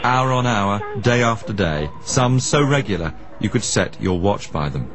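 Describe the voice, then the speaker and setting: A man with a fairly deep voice and very animated intonation presents a radio documentary. He tells it theatrically, with music clearly behind him. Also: moderate pace. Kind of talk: scripted radio documentary narration and interview questions.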